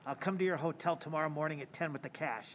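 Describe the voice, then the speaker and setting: A man playing a shrewd, scheming male speculator in an old-time radio play, haggling gruffly in a hushed way. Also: on the high side, fast, lo-fi sound, a little hiss or hum, lightly acted.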